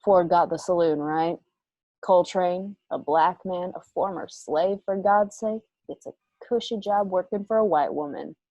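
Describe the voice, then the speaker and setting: A woman's voice: on the low side, unhurried, dark tone, no echo, highs cut off. An American woman playing a vengeful, coolly cruel outlaw in a Western radio drama, taunting with folksy threats. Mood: taunting, threatening.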